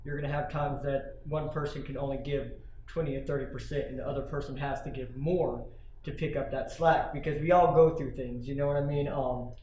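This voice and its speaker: A man in his thirties giving earnest, relaxed interview answers, often asking "you know what I mean". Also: medium pitch, brisk. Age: 30s. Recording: echoey room with faint noise.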